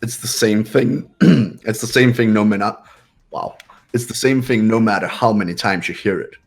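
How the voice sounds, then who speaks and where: A man's voice: fairly low pitch, normal speed, lightly acted. A man voicing a cold, arrogant young heir who dismisses others with condescension. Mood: condescending. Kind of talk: fan voice acting of a game.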